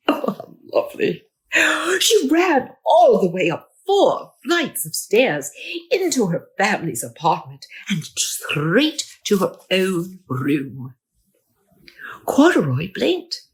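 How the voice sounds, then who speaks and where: Very animated, slow-paced woman storyteller. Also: medium pitch. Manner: dramatic, exclamatory, with hushed asides. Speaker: storybook reader. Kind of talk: theatrical children's storybook narration.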